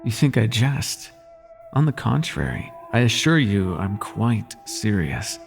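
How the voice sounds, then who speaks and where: A suave demon prince acted by a man speaks in a slow, low drawl that swoops expressively. He is courtly and teasing, seductive in the manner of an intimate audio roleplay, with music behind him. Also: sing-song, creaky, full character voice. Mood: teasing flattery. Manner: courtly, teasing, lingering delivery.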